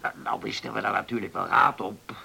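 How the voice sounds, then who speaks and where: An actor playing a garrulous old island man in his seventies, reminiscing in a halting, folksy way in a radio play. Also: fairly low pitch, fairly even intonation, gravelly, pushed and bright, husky, heavily acted. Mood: animated storytelling. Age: 70s. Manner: folksy, halting, repeats himself, verbal tics. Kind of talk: radio drama acting.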